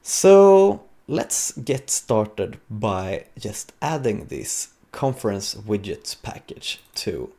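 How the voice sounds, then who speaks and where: A man giving an informal, explanatory live coding talk as a conference speaker, with a gravelly, husky voice. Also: fairly low pitch, very animated, extremely slow, few pauses, dark tone.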